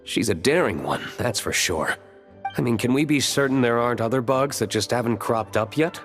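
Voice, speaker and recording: A man playing a suave, composed gentleman in a video game, with measured, polished and wry delivery. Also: mid-range voice, lively intonation, close to an everyday voice. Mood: wry, reflective. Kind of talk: video game cutscene acting. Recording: long hall reverb, music behind.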